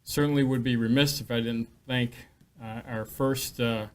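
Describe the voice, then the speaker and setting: A man's voice: medium pitch, steady tone, moderate pace. A man, a hospital executive, giving a formal thank-you speech at a press event and listing names with some hesitant fillers. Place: event hall at a live press announcement.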